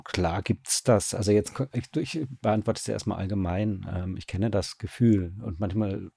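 A man giving casual interview answers as a guest, haltingly searching for his words. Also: lowish voice, creaky, dark tone, slightly rough. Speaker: radio drama director, interview guest.

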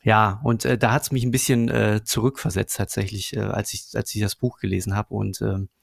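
Gravelly man, casual and dry. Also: fairly low pitch, little pitch movement, brisk, dry recording. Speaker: podcast co-host discussing radio plays and books. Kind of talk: casual personal discussion.

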